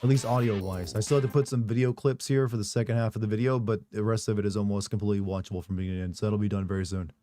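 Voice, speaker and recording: A man with a hoarse, recovering voice, casually chatting on a live stream and reading viewer messages aloud with rambling, joking asides. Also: fairly low pitch, fairly even intonation, racing, hardly any pauses, dark tone, no room sound, faint music behind.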